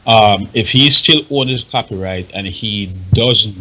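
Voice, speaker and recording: A man's voice: lowish voice, unhurried, sharp tone, rough recording. A man with a Jamaican accent on a talk panel, explaining and advising emphatically and repeating himself for stress. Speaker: radio panelist advising on music rights.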